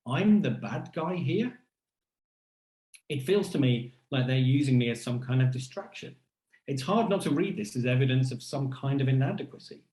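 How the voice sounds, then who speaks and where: A man delivering a scripted first-person lecture in a deliberate, rhetorical and wry manner, as a keynote speaker. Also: mid-range voice, lively intonation, warm tone, echoey room.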